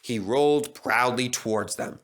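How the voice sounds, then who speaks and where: A man reading a children's story aloud as a storyteller, in an animated narrating style. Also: medium pitch, measured pace.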